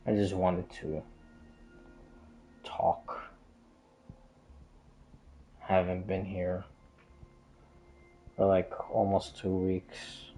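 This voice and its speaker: Low, slow-talking man rambling on stream. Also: mellow. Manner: hesitant, meandering and repetitive. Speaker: game livestreamer. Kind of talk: casual rambling stream chat. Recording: dull, muffled lo-fi stream audio.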